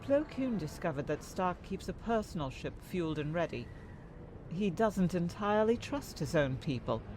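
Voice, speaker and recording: A man's voice: high voice, big pitch swings, moderate pace, darkish tone, slight background noise, rough recording. A man playing a composed, capable Jedi who recounts a past mission in dramatic scripted comic dub narration.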